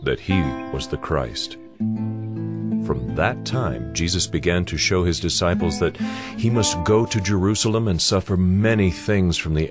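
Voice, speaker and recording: A man narrating scripture in an audio drama with a measured storytelling cadence. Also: deep voice.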